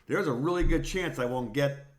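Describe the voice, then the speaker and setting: A man co-hosting a podcast in casual conversation, telling things in an animated way and often repeating himself. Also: on the high side, normal speed. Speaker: podcast co-host.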